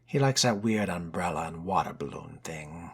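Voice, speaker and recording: A man voicing a brooding, genteel vampire in video game roleplay, breathy and near-whispered, with a measured, ominously polite, old-fashioned manner. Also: deep voice, expressive, heavily acted. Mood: dry reading. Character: brooding, genteel, world-weary male vampire fledgling.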